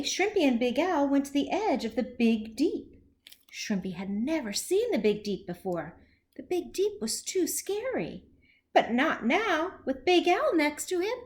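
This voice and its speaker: A woman reading a children's story aloud as a teacher would, expressively and with deliberate phrasing for young listeners. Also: high-pitched. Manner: animated, slow, deliberate, with questions to young listeners.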